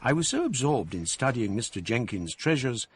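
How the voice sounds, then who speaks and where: An actor narrating as a scholar recalling his youth, measured, wry and reflective. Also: mid-range voice, big pitch swings, a little hiss or hum. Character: older male scholar narrating his past. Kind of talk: radio drama narration.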